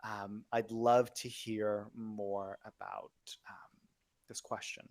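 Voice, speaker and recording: A man with a medium-pitched voice hosts a casual interview. He speaks haltingly, working out his phrasing aloud as he responds and asks questions. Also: level tone. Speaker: podcast host.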